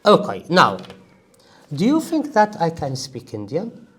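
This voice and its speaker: A man teaching an English speaking-test class, emphatic and animated, joking with his students. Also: on the high side, measured pace, slightly rough. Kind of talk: classroom lecture.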